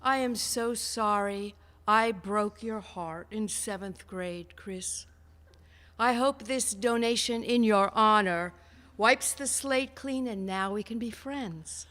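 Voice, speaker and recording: A woman reading out a report in a measured, deliberate, deadpan way. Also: medium pitch. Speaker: treasurer giving donation report. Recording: echoey hall, long reverb.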